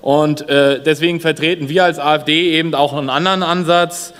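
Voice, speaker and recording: A man's voice: on the high side, steady tone, a little fast, a bit bright, smooth. A man giving a speech in parliament at a lectern. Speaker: member of a state parliament. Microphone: lectern microphones. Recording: long hall reverb of parliament chamber.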